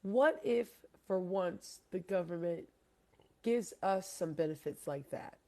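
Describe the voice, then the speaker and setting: A woman's voice: fairly low pitch, animated, slow, darkish tone. A woman from Hawaii hosting a casual debate, talking in a rambling, emphatic way and often putting questions to her listeners. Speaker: host.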